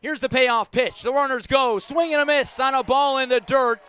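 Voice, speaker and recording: A man calling a baseball game live on radio as play-by-play announcer, measured between pitches and emphatic when the action comes. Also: steady tone, sharp tone, clean tone. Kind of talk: live sports radio commentary.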